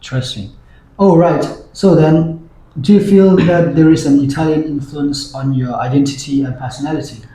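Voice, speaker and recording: A man hosts the segment, keeping his questions brief and prompting. His voice is mellow and sits slightly high. The room around him is echoey. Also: moderate pace. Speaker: host. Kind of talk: scripted interview role-play.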